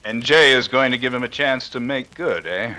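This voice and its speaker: A man playing a calm, dryly witty detective with an air of authority in a 1930s radio drama. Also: medium pitch, moderate pace, natural voice, low-quality recording. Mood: wry.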